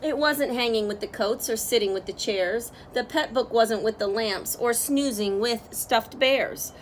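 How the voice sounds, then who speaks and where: High-voiced woman reading a children's story. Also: measured pace, a little hiss or hum, rough recording. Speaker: storyteller reading a picture book aloud. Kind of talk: expressive children's read-aloud narration.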